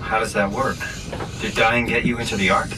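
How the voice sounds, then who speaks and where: A man's voice: fairly low pitch, level tone, a little fast, noisy background, lightly acted. A man playing a bewildered, hesitant young man in a sci-fi video game, asking questions. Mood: careful. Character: bewildered ordinary young man in a synthetic body. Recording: loud machinery and ambience behind voice.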